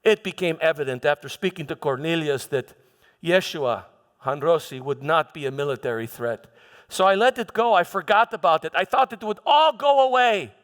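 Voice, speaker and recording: A proud, defensive Roman prefect, acted by a man in a church dramatization, recounts his deeds in a high-pitched male voice. He speaks theatrically but haltingly, doubling back on words like a soldier justifying himself. The sound rings with the long reverb of a hall. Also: expressive, lightly acted. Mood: grave, wary. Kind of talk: first-person church dramatic monologue.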